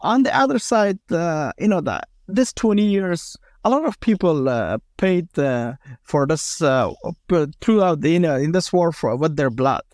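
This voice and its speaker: A man's voice: fairly high pitch, animated, a little slow, darkish tone, no room sound, studio quality. Hesitant Afghan-born man in his thirties. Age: 30s. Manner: hesitant, many fillers and restarts. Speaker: guest, former special forces commander. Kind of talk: casual interview talk.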